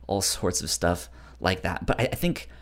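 A podcast co-host chatting casually about books in a halting way, often trailing off and rephrasing. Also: sits low, animated. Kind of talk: casual book discussion chat.

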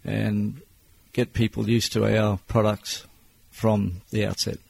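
A man in his sixties with an Australian accent, answering interview questions as a business owner in a plain-spoken, measured way. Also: lowish voice, flat delivery, vocal fry, a little mellow, breathy edge. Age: 60s.

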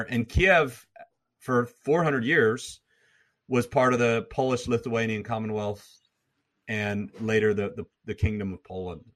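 A man's voice: lowish voice, a bit bright. Man explaining history with many restarts. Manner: lecturing, frequent restarts and repeated words. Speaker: guest, former troop commander and history professor. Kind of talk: explanatory interview talk.

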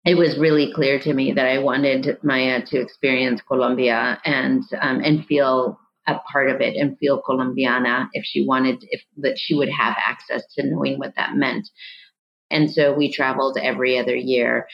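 A woman's voice: a bass voice, little pitch movement, moderate pace, creaky, highs cut off. Middle-aged woman telling a family story. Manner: storytelling, with frequent restarts. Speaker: guest, adoptee mother. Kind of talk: reflective conversation.

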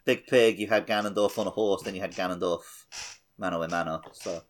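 A man with a fairly low voice co-hosts a casual gaming podcast. He talks in a loose, jokey, conversational way and laughs easily as he goes. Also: steady tone, a little fast, slight background noise. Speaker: podcast co-host.

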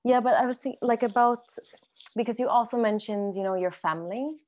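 A woman's voice: a little high, a little fast, darkish tone. A woman interviewing a guest, listening closely with frequent murmured agreement. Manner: attentive, many murmured agreements. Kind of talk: conversational interview.

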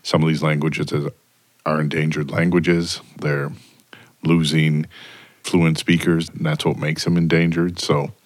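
A man's voice: extremely low pitch, dry recording. A man narrating a documentary, then reflecting in a casual way.

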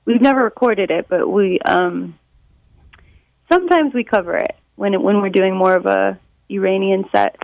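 Casting: American woman, musician guest, animated casual talker, gravelly creak, close and slightly muffled. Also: mid-range voice, normal speed, no room sound. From Detroit, United States. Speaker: musician and songwriter being interviewed. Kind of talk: casual chat, interview answers.

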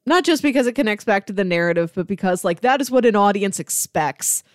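A woman's voice: mid-range voice, expressive, brisk, dry recording, studio quality. A woman, a young adult, co-hosting a casual film discussion in an enthusiastic, explanatory way. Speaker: film podcast co-host.

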